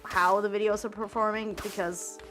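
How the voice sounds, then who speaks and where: A woman's voice: mid-range voice, flat delivery, moderate pace, bright, forceful tone, soft background music. Rambling young woman streamer, stumbling chat. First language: German. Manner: rambling, repeats words, many fillers.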